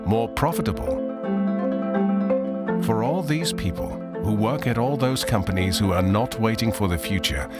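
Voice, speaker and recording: A man reading a scripted promotional voice-over with a slow, emphatic delivery. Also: on the low side. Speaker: promo video narrator. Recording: voice-over with loud music behind.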